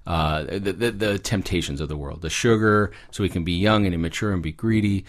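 A man's voice: sits low, brisk. A man speaking as a guest expert, explaining fairy tales in a conversational way with little acted asides. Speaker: guest expert, psychology professor.

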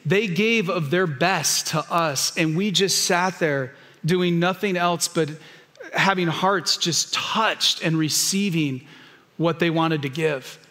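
A man's voice: on the high side, takes it slowly, sharp tone, big-room sound. A man, the pastor, introducing a guest to his church congregation with moved, heartfelt storytelling. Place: church sanctuary.

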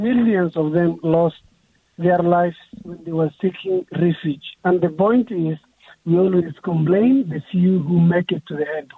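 A man's voice: on the high side, husky, no echo. A young man, an author guest speaking earnestly in a radio interview, often restarting his phrases. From Somalia. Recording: tinny phone line.

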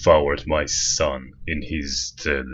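An actor playing a grieving older royal uncle, speaking solemnly in archaic words in an audio drama. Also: male voice, rumbling low, flat delivery, takes it slowly, highs cut off. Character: grieving royal uncle adopting his nephew, older man.

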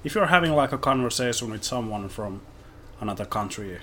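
A man's voice: medium pitch, lively intonation, a little fast, a crowd in the background. A man from Finland with a Finnish accent, a podcast guest chatting casually and rambling with lots of fillers, with English as a second language. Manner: rambling, many fillers like "you know" and "like". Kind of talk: casual chat.

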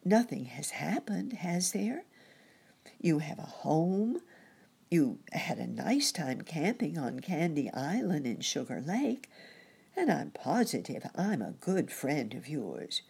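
A woman's voice: low-pitched, big pitch swings, takes it slowly, creaky, a little mellow, breathy edge, voice pushed up, heavily acted. A woman voicing a caring muskrat lady nurse in a storybook, fussing in an anxious, earnest, sympathetic way. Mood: concerned coaxing.

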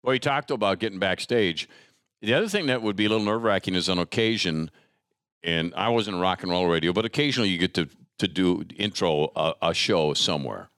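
A middle-aged man hosting a casual chat, expressive and chatty, dropping into mock announcements and asides. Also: low-pitched, brisk, dry recording, clean studio sound. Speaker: host. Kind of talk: casual chat, conversational interviewing.

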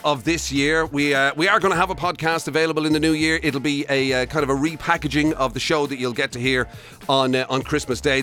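A man's voice: medium pitch, bright, forceful tone. An Irish man co-hosting a radio show in casual, joking conversation. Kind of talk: comic casual banter.

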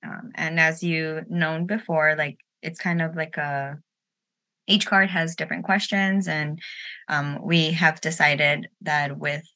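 A woman's voice: deep voice, pushed and bright. A woman in her late twenties hosting a casual roundtable podcast, talking informally and candidly with a playful streak. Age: late 20s. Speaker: podcast host.

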